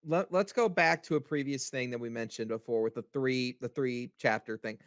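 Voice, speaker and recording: A man's voice: mid-range voice, a little fast, no room sound, clean studio sound. A man chatting casually about manga as a co-host on a panel podcast.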